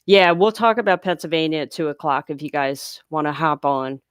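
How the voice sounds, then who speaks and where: A woman's voice: low-pitched, normal speed, smooth, no room sound, clean studio sound. Chatty, congested woman hosting a live Q&A. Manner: chatty, reads chat questions aloud and explains patiently. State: congested from sinuses. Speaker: livestream host answering viewer questions. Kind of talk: casual live Q&A. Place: home near a large US city.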